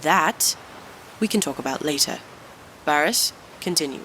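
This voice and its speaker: A stern captain in an audio drama, questioning subordinates with clipped, impatient authority. The voice is bright and forceful, with faint ambience behind it. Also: female voice, low-pitched, lively intonation, unhurried, a little hiss or hum, full character voice. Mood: probing, impatient. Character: stern, probing military captain, impatient commanding officer. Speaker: voice actor.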